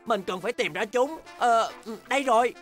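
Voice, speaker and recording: A high, boyish cartoon bear cub, acted by a woman in a dub. The character is eager and stubborn, speaking in determined exclamations. Light music plays behind the voice. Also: animated, moderate pace, close to an everyday voice. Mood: flustered searching. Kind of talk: animated children's cartoon dub.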